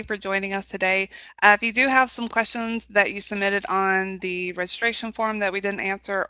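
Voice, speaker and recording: Bright-voiced woman hosting, slightly muffled webinar. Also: mid-range voice, no echo. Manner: polite customer-service phrasing. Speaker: webinar host. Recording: muffled online webinar audio.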